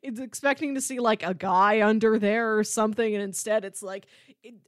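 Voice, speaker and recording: A woman's voice: a little high, fairly even intonation, moderate pace, dry recording, studio quality. A woman co-hosting a casual, conversational discussion of films. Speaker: podcast co-host.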